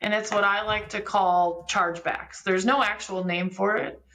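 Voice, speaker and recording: Woman explaining in a creaky voice. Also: mid-range voice, fairly even intonation, normal speed, a bit bright, rough recording. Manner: didactic, step-by-step explaining with examples. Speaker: podcast guest, business software expert. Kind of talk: conversational technical explanation.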